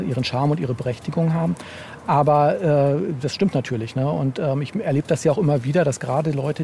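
Mellow-voiced man in a casual interview. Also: mid-range voice, slight background noise. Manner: rambling, explanatory, often adding tag questions. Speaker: novel author being interviewed.

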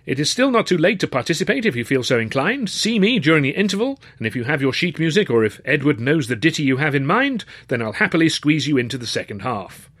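A man's voice: fairly high pitch, sing-song, fast, hardly any pauses, fairly bright tone, slightly rough, voice pushed up, exaggerated voice. A man voicing a hearty master of ceremonies in an audiobook, addressing a room in a formal, florid announcer's manner. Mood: genial, formal. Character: bellowing, hearty male master of ceremonies at a concert. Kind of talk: audiobook character voice.

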